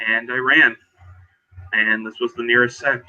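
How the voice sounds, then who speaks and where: A man with a very bright, sharp-edged voice plays a tabletop roleplaying game. He moves between casual narration and character talk, pausing and restarting as he improvises. The audio is slightly dull and lo-fi, like an online voice chat. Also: mid-range voice, fairly even intonation.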